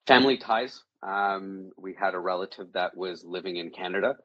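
A man's voice: sits low, steady tone, moderate pace, fairly bright tone, no room sound, lo-fi sound. A man from Chile, a guest answering interview questions thoughtfully, with frequent fillers and repeated words. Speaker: guest, creative director.

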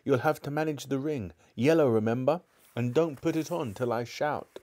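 A man's voice: medium pitch, moderate pace, darkish tone, voice pushed up, lightly acted. A man voicing a plucky young English boy in a storybook, decisive and urgent.

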